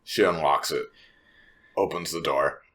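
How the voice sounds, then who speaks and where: A man's voice: extremely low pitch, a little slow, a bit bright. A man playing a tabletop roleplaying game, narrating his character's actions in the third person.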